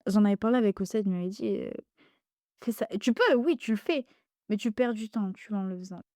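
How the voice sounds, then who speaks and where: Hesitant young woman, dark dry voice. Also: medium pitch, brisk, no room sound. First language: Arabic dialect. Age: young adult. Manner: hesitant, many fillers and restarts, animated. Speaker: podcast guest. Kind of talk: casual conversation.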